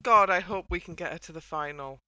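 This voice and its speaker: A woman hosting a casual chat about a TV show, talking with wry, halting phrasing. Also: lowish voice, sharp tone, clear, resonant tone. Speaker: podcast host. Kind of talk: casual discussion of a TV dance show.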